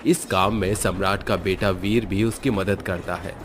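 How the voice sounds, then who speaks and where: A man narrating a cartoon horror story in a measured, dramatic manner. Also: low-pitched, fairly even intonation, slight background noise.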